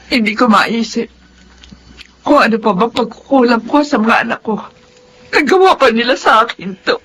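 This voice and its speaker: A woman's voice: on the high side, normal speed, fairly bright tone, a little hiss or hum, close to an everyday voice, rough recording. An actress playing a heartbroken, plaintive middle-aged Filipino mother in a radio melodrama. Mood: hurt, despairing. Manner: emotional, plaintive.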